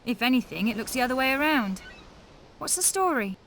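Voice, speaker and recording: A woman playing a feisty, sharp-tongued young mage in a video game, confident, sassy and direct. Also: high voice, lively intonation, a little fast, slight background noise, rough recording. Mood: curious, breezy. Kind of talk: animated video game voice acting.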